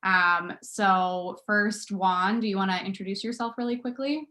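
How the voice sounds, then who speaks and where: A woman hosting an online panel discussion, talking casually with many fillers and quick self-corrections, over a video call. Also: medium pitch, steady tone, bright, forceful tone. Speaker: talk show host.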